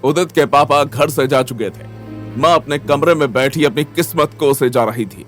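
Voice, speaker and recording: A man's voice: fairly high pitch, moderate pace, fairly bright tone. A man narrating a scripted audio story in a dramatic storytelling style. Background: background music.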